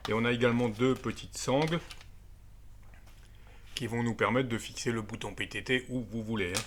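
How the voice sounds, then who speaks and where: A man's voice: mid-range voice, normal speed, fairly bright tone, a little hiss or hum. A man presenting a gadget in a casual, hands-on way, explaining it step by step. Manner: explanatory, step by step, with frequent tag words. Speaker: amateur radio video presenter. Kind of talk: casual hands-on product demonstration.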